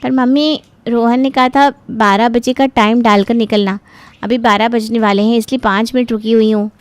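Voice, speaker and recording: A woman voicing a chatty, headstrong young bride-to-be in a cartoon, expressive in manner. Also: a little high, normal speed, dry recording, close to an everyday voice. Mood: coy, earnest. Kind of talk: cartoon voice acting.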